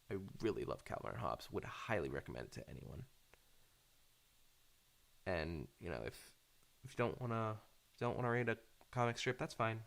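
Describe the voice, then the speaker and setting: A man chatting casually in a halting, hesitant way, rambling off on tangents. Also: low-pitched, vocal fry. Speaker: fandub creator talking off the cuff.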